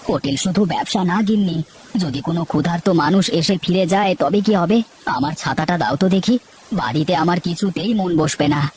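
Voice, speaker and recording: A woman's voice: on the low side, fairly quick, a little mellow, slight background noise, close to an everyday voice. A woman voicing a kind, dutiful middle-aged man who keeps a village eatery, in a cartoon. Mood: gentle. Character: kind devoted middle-aged male hotel keeper. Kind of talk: cartoon voice acting.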